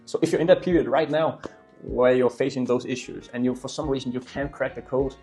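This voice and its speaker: A man's voice: mid-range voice, fairly even intonation, fairly quick, a little mellow, soft background music. A man in his twenties with a Danish accent and native Danish, telling his story casually to viewers in an earnest, emphatic way, repeating words for stress. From Denmark. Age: 20s. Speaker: YouTuber and e-commerce entrepreneur. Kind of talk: casual storytelling talk to camera.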